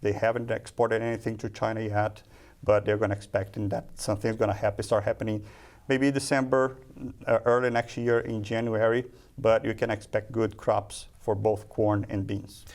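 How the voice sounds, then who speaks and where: A man from Brazil, a native Portuguese speaker, answering questions as a market analyst in a studio interview, with halting restarts. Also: fairly low pitch, little pitch movement, darkish tone. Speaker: grain market analyst guest.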